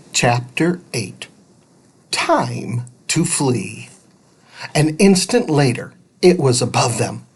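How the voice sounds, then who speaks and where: A man reading a children's adventure book aloud in an expressive, dramatic style, stretching words for suspense. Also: fairly high pitch. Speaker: storybook reader. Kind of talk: expressive children's audiobook narration.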